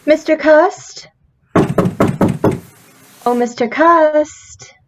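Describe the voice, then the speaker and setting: A woman playing a kindly, coaxing young landlady's daughter in an old-time radio drama, with a gentle manner. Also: sits high, very slow, heavy background noise. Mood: coaxing, fond.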